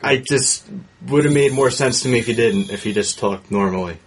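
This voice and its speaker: A man's voice: mid-range voice, moderate pace, slightly rough. A man in a casual group debate as a panelist on a movie show, questioning and hedging as he presses his point.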